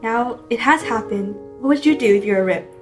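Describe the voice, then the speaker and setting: A woman playing a loyal, guilt-ridden young girl in an audio drama, soft and sincere. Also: a little high, fairly quick, faint music behind, natural voice. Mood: resolute.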